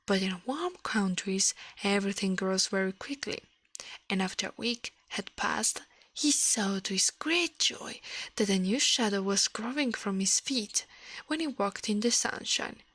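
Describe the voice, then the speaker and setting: A woman with an Italian accent speaking softly in an ASMR livestream, often whispering, close to the microphone. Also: medium pitch, measured pace, no echo. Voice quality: soft, breathy, often whispered. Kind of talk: ASMR, soft-spoken and whispered storytelling and chat.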